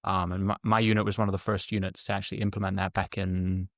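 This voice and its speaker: Interview guest, male, middle-aged, low pitch, explanatory and halting, dry sound. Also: level tone, a bit bright, dry recording. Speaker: guest, former Army Ranger medic. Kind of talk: conversational interview answers.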